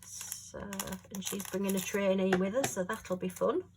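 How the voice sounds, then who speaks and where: Chatty woman, slightly hoarse. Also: mid-range voice, moderate pace, background noise. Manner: chatty, rambling, homely, with frequent fillers. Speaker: crafting vlogger. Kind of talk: casual chat while crafting. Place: at home.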